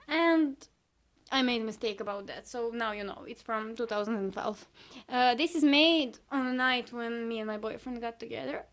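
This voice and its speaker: A young woman with a Serbian accent, Serbian her first language, casually showing her sketchbook and talking about each page with hesitant pauses and self-critical asides. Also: fairly high pitch. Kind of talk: casual sketchbook tour chat.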